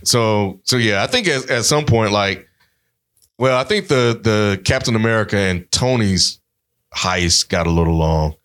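A man's voice: lowish voice, lively intonation, a little slow, fairly bright tone. A man talking casually as a podcast panelist, with slang and swearing in his conversation. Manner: slangy, profane.

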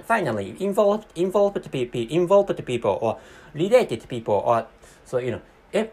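Halting husky-voiced man, native Japanese. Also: a little high. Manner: halting, frequent restarts and stumbles. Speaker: podcast host. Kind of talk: casual monologue.

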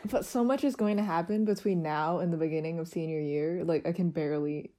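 A teenage girl chatting casually with friends on a podcast while lying on her bed, relaxed and rambling. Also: low voice, fairly quick. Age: teens. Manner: relaxed, rambling, laughs often. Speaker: podcast co-host. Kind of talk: casual chat among friends.

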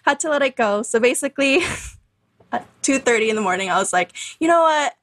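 A woman in her twenties, a podcast guest telling her story in a chatty, animated way, full of fillers and laughs. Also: high voice, brisk, no echo. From Canada. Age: 20s. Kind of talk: casual interview storytelling.

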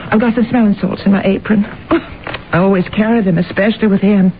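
A woman plays a solicitous, fussing housekeeper in a vintage radio play. She speaks at a medium pitch, politely coaxing and always inquisitive, through a thin broadcast sound with background hiss. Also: fairly even intonation, a little fast, close to an everyday voice. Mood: brisk, practical. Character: devoted, fussy female housekeeper, inquisitive and solicitous. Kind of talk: old-time radio drama acting. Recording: tinny, noisy old radio broadcast.

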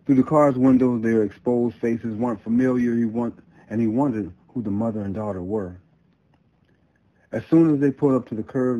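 Low, mellow man storyteller, slightly muffled. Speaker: guest storyteller, author. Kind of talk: reading his own story aloud.

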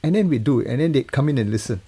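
Older man, gravelly mellow voice. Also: medium pitch, expressive, racing. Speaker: guest, TV music composer and mixer. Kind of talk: casual interview, telling work anecdotes.

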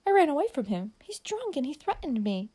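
A frightened young society lady, as a female narrator plays her, pitched high and pleading. Her voice trembles with breathy, tearful gasps in an old-fashioned storybook drama. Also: brisk. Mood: fearful whisper. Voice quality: breathy, trembling, often whispering. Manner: gasping, excited, pleading.

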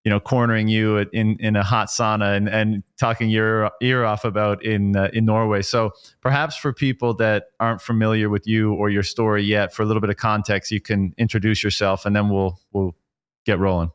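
A man's voice: fairly low pitch, level tone, a little fast, clean tone. A man hosting a podcast, reading a prepared intro and then chatting politely with his guest, with some fillers. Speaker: podcast host. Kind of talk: scripted intro then casual interview.

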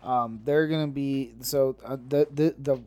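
A man with a mid-pitched voice co-hosts a casual news podcast. He talks haltingly, repeating and tripping over words as he goes. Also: fairly even intonation, a little mellow, clear, resonant tone. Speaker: podcast co-host. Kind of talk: casual nerd-news chat.

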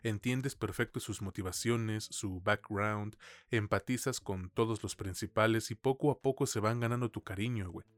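A man's voice: fairly low pitch, normal speed, dry recording. A man with a Mexican accent giving a conversational film review as a podcast co-host, in informal, slangy language. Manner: informal, slangy, peppered with swearing.

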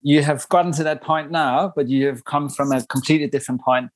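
A man hosting a podcast, reading a measured, clearly worded introduction before interviewing his guest. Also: a little high, fairly even intonation, dry recording. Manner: measured, clearly read introduction, warm and formal. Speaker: podcast host. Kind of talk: scripted intro then interview.

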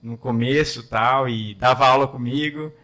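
A man's voice: medium pitch, fairly even intonation, moderate pace, fairly bright tone. A man hosting a casual interview, joking and laughing often. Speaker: interviewer, music school owner.

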